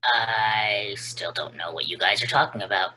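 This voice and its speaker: A woman at a stage play table reading, playing a jumpy, secretive man from out of town who is hiding out, in a husky voice. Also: rumbling low, normal speed, sharp tone, slight background noise, low-quality recording. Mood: awkward.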